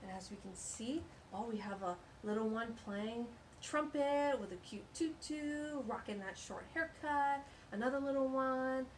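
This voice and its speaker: A woman's voice: a little high, a little hiss or hum, rough recording. A woman hosting a children's storytime, reading a picture book aloud with gushing, exclamatory asides.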